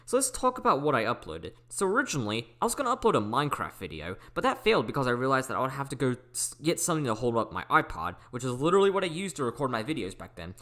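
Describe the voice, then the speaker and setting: A teenage male YouTuber reads out a scripted storytime at a brisk clip. His pitch swings up and down in a very animated way, and he speaks up clearly. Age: teens, almost seventeen. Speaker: YouTuber telling personal stories. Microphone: recorded at a computer or phone.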